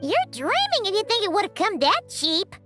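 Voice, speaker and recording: A woman voicing a small, cheeky female fairy companion in a game, with a very high, childlike voice and a teasing manner. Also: wildly swooping pitch, normal speed, sharp tone, faint music behind, full character voice. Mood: skeptical. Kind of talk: game voice acting.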